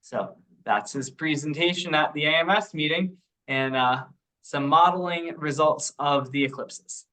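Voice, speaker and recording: A man presenting technical research informally on a teleconference, explaining haltingly as he thinks aloud. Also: a little high, sharp tone, rough recording. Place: online teleconference.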